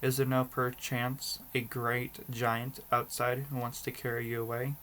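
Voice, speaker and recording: A stern fatherly king from a storybook , read out by a man. He speaks formally and firmly in a mid-range voice. The recording carries clear noise. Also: mid-range voice, flat delivery, unhurried, pushed and bright. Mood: concerned. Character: stern fatherly king, firm about promises.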